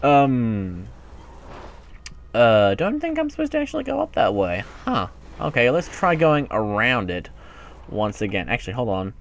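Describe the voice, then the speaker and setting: A man's voice: medium pitch, huge pitch swings, a little slow, slight background noise. A man giving casual let's play commentary as a gaming YouTuber, rambling and self-mocking, often muttering to himself.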